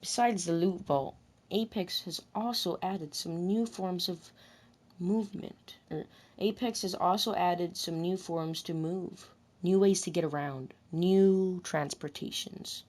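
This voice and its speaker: Low-pitched woman narrating about a video game. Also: darkish tone. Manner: deliberate, restarts and rephrases whole sentences. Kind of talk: scripted game commentary with repeated retakes.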